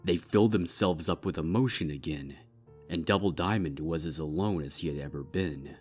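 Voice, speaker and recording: A man reading dark fiction as a scripted narrator, measured and with dramatic pauses. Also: a bass voice. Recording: music behind the voice.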